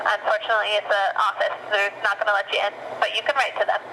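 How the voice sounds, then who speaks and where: A woman's voice: mid-range voice, little pitch movement, fast talker. A woman working as a customer service agent on a phone call, formal and firm as she repeats set explanations. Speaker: customer service agent in a fraud and limitations department. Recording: noisy recorded phone call.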